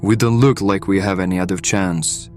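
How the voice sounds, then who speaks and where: Man playing a low-voiced, guarded hireling. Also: fairly even intonation, moderate pace, soft background music, lightly acted. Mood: wary. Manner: plain-spoken, earnest. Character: gruff-worded, guarded but caring male hireling. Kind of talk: audio drama acting.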